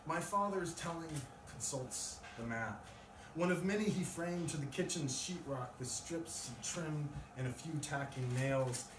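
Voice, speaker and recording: A man's voice: fairly high pitch, a little slow, few pauses. A man speaking live at a poetry reading, as a poet presenting his own work. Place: live event in a room with an audience. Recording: distant mic, echoey room, loud noise.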